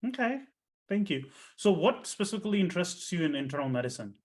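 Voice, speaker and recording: A man hosting and conducting a mock residency interview, asking questions in a friendly, brisk way with some hesitations over a video call. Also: high-pitched, big pitch swings. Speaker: interviewer and host.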